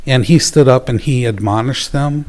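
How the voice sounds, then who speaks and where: A man presenting at a public town council meeting, talking in a measured, earnest way with fillers and pauses. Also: mid-range voice, darkish tone. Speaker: youth program presenter.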